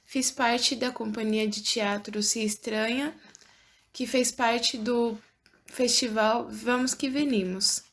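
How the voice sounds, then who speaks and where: An eighteen-year-old Brazilian woman introduces herself as a young theatre performer. Her voice is fairly high. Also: fairly even intonation, takes it slowly. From Santo André, Brazil. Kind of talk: self-introduction.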